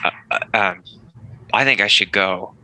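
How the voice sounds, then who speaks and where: An actor playing a guarded, awkward male pastor with a hesitant, halting delivery in a script table read. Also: lowish voice, bright, forceful tone, slight background noise, heavily acted, poor audio. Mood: defensive, uneasy.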